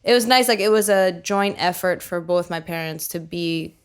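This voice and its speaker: A woman's voice: lowish voice, normal speed, clear, resonant tone. A young woman from the United States, a musician chatting as an interview guest, laughing easily and saying "like" often. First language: Greek. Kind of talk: casual interview chat.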